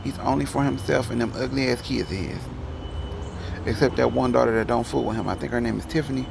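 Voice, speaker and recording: A man's voice: medium pitch, fairly even intonation, quick pace, vocal fry, noisy background. A man from Detroit with a Detroit accent, ranting his opinions in a rambling vlog. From Detroit, Michigan. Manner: heated, rambling, talks to the camera. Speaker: vlogger.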